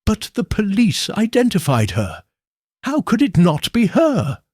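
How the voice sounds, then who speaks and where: A man's voice: high voice, wildly swooping pitch, a little slow, darkish tone, no echo, studio quality, voice pushed up, exaggerated voice. A man voicing a frightened, disbelieving woman with a trembling voice in a mystery story. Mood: shaken. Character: frightened, trembling woman listener at a murder reveal.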